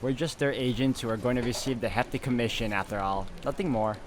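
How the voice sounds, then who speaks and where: A man's voice: medium pitch, steady tone, fast, background noise. A man hosting a game playthrough, reading visual novel narration aloud.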